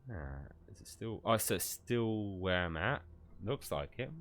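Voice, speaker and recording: A man's voice: on the low side, animated, measured pace, a bit bright. A man with a stuffy, cold-congested voice, giving rambling, casual commentary while streaming a video game and often swearing.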